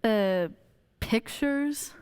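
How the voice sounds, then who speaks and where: A woman playing an excitable male department store boss in a radio play, emphatic and given to repeating words. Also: on the high side, drawn-out words, clear, resonant tone. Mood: taken aback. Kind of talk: stage-style radio play acting.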